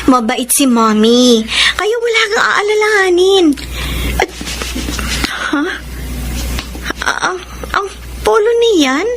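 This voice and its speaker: An actress playing a sweet, respectful young daughter in love, in a Filipino radio drama with emotive delivery. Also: female voice, extremely high pitch, animated, takes it slowly, long pauses, noisy background, exaggerated voice. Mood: anxious.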